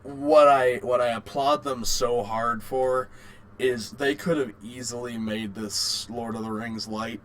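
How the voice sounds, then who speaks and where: A man chats casually as a podcast co-host. His delivery is slow and halting, and he often circles back to restart a phrase. His pitch sits in the middle range for a man. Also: steady tone. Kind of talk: casual chat about video game movies.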